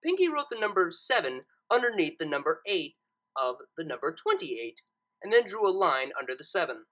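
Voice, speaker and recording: A woman reading a fan fiction story aloud as an expressive narrator. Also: fairly low pitch, normal speed, poor audio.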